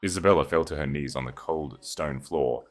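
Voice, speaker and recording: A man's voice: a bass voice, normal speed. A man delivering dramatic, scripted narration of a story as its narrator.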